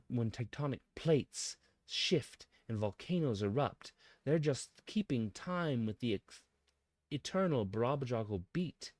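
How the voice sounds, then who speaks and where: A man delivering a scripted mock-academic monologue in a pompous, philosophical manner with dramatic pauses. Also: medium pitch, a little mellow, no room sound. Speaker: presenter of a mock-scholarly essay.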